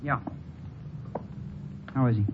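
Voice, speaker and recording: An American actor playing an edgy, defensive young jockey, earnest and needling, in an old-time radio drama. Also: male voice, slow, lightly acted. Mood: subdued. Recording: muffled, faintly noisy old radio recording.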